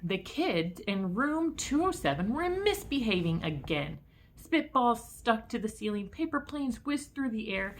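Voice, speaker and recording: A woman's voice: fairly high pitch, very animated, measured pace, a little hiss or hum. A woman reading a picture book aloud to children as a teacher, expressively and with casual asides.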